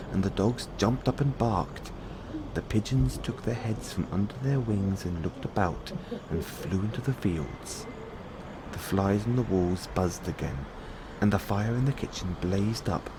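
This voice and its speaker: Low-voiced man reading a bedtime tale. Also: unhurried. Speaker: storyteller playing a boyfriend in audio roleplay. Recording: clearly audible background noise.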